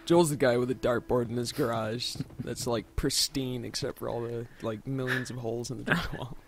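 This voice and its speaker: A man co-commentating on a game in a casual, joking, animated way. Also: mid-range voice, moderate pace, a little hiss or hum. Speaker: co-commentator on a let's play.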